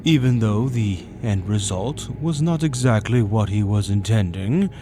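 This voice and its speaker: A man voicing a grandiose avian demon tempter in a dark fantasy roleplay monologue, lecturing persuasively with theatrical, drawn-out emphasis over gothic music. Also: on the low side, animated, noisy background. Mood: solemn, persuasive. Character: male avian demon arch-tempter, grandiose philosophical seducer. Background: loud dark gothic music.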